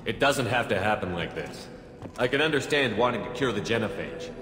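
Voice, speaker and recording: Game lead: steady, principled human commander. Low, brisk, matter-of-fact, with hall reverb and music behind. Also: male voice. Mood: reasoning. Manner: direct, questioning, matter-of-fact. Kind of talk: video game character acting.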